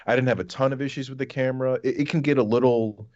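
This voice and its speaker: A man's voice: medium pitch, steady tone, fast talker, darkish tone, no room sound. A man chatting informally and enthusiastically about games as a podcast panelist, on a dull-sounding microphone. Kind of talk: casual chat about games.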